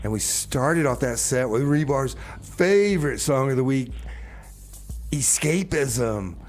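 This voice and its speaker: A man's voice: mid-range voice, animated, slow. A man hosting a community radio music show, loose and chatty, repeating himself as he introduces songs and banters. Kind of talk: casual music show presenting with comedy banter.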